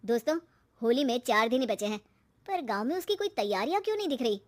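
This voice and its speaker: A woman voices a stubborn young boy in a cartoon village tale. He is high-pitched and curious, firing off questions and exclamations. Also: big pitch swings, quick pace. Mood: puzzled.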